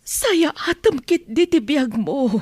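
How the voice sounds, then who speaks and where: A woman's voice: sits high, animated, a little mellow, no room sound, studio quality. A woman playing an earnest, reproachful old nanny in an Ilocano radio drama. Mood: admonishing. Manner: earnest, admonishing, drawn-out delivery.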